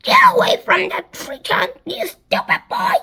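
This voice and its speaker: A woman voicing a spiteful, excitable aunt from a children's story, yelling in snappish, raspy exclamations. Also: slow pace, heavily acted. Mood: snapping angrily. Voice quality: shrill, raspy.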